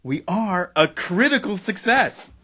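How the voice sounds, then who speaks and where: Man acting as insistent male executive, sardonic, highish voice, very animated intonation, audio drama. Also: unhurried, heavily acted. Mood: pressing, worried. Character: pushy, sardonic male boss of struggling internet radio company. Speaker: actor playing a radio executive.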